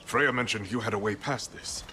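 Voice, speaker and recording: A man's voice: on the low side, normal speed, sharp tone, a little hiss or hum. A man playing a proud, stern boar shapeshifter in human form in a video game, speaking in a blunt, formal, dry manner. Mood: businesslike. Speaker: game character, exiled advisor. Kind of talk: video game cinematic acting.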